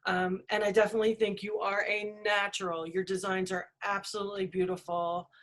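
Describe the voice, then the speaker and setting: A woman's voice: medium pitch, little pitch movement, a little fast, a bit bright. A woman hosting a casual interview, asking friendly, encouraging questions. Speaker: interview host.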